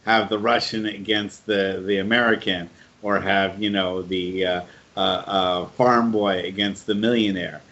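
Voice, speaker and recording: A man's voice: sits low, flat delivery, measured pace, a bit bright. A man hosting a casual interview, hesitant and searching for his words. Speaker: podcast host asking questions. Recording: dull lo-fi sound.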